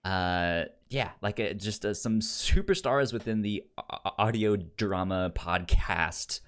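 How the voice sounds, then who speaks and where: A man co-hosting a casual podcast chat, talking informally and haltingly, with a stutter that repeats sounds and syllables. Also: on the low side, a bit bright, clear, resonant tone. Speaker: podcast co-host.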